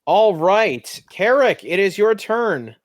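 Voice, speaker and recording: Slow-paced man running a tabletop game. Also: high voice, sing-song, no room sound, clean studio sound. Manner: casual, descriptive, conversational. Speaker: game master of a tabletop roleplaying game. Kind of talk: improvised game narration with casual table talk.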